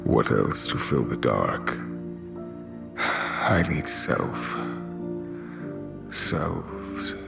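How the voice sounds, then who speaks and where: An actor playing a lonely, solemn male creator god in a fantasy audio drama, with halting, weighty, incantatory speech. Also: very deep voice, animated, gravelly, music in the background, full character voice. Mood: searching, intense.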